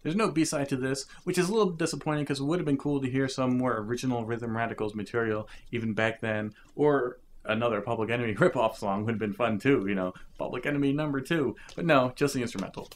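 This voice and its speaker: A man in casual commentary on old hip hop records, talking loosely and thinking aloud as he goes. His pitch sits in an ordinary middle range for a man. He pauses often with fillers. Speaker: music reviewer.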